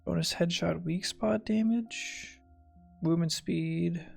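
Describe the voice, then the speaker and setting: Creaky-voiced man giving wry game commentary. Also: sits high, takes it slowly, a little mellow. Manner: wry, sarcastic. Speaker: gamer streaming a playthrough. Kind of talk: casual game commentary, muttering and reading stats aloud. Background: game music.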